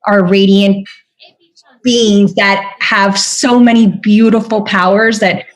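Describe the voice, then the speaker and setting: A woman's voice: mid-range voice, fairly even intonation, slow pace. A young adult woman from Venezuela, a podcast guest chatting earnestly with many fillers and restarts. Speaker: podcast guest, spiritual guide. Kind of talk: casual interview chat.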